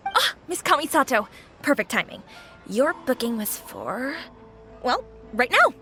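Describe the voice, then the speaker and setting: A woman's voice: sits high, wildly swooping pitch, unhurried, pushed and bright, heavily acted. A woman voicing a friendly, talkative restaurant owner who welcomes guests, in game dialogue with music behind.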